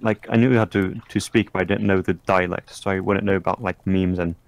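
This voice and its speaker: Low gravelly man, native French speaker. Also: fairly even intonation, brisk. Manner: joking mock-grumpy, self-correcting. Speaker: friend drawing on stream. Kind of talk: casual group chat.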